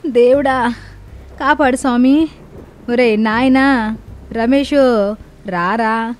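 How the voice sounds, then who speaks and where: A woman voicing a scolding, dramatic old grandmother who grumbles and complains in a family story. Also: a little high. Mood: wailing in pain.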